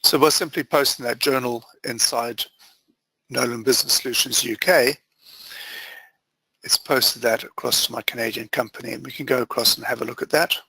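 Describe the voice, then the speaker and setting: A man with a bright, forceful voice and a gravelly edge leads a software walkthrough. He explains each step methodically in short phrases, like a trainer on a screen-share. The sound is close and dry. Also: medium pitch, steady tone, moderate pace, dry recording, rough recording. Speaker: software trainer presenting a webinar demo.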